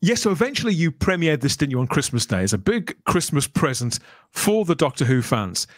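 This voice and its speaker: A man's voice: fairly high pitch, sing-song, brisk, no room sound, clean studio sound. A man hosting a podcast, interviewing his guests in a conversational style. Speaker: podcast host. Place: remote online video call.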